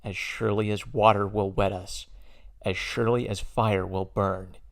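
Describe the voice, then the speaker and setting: A man giving a dramatic poetry reading with a gravelly voice and measured, declamatory phrasing with emphatic stresses. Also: lowish voice, flat delivery. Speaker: poetry reader.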